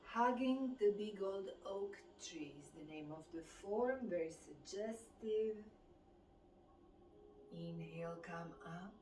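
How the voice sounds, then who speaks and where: A woman with a Romanian accent leads a qigong session, giving slow, calm cues that follow the breath. Her voice sits at a middle pitch and comes from some distance off the mic, with a roomy echo around it. A faint hum of ambience sits underneath. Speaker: fitness instructor. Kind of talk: guided qigong class instruction.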